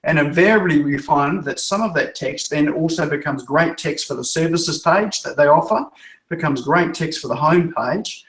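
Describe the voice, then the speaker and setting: A man with a New Zealand accent talks through his answers in a chatty way, often restarting and repeating words. His voice sits a little high. The room around him rings with echo. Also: normal speed, a bit bright. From Norfolk Island. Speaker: web developer guest. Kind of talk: interview answers.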